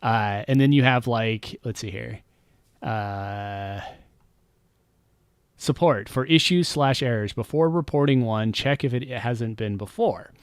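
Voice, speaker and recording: A man's voice: mid-range voice, takes it slowly, clean tone, no echo. A man chatting casually on a game stream, rambling and wry, often trailing off with um and uh.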